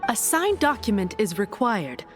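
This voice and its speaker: A woman's voice: mid-range voice, sing-song, normal speed, soft background music, natural voice. A woman playing a devout young nun in a video game, formal and courteous but firm and dismissive. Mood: formal, wary. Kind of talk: anime-style video game voice acting.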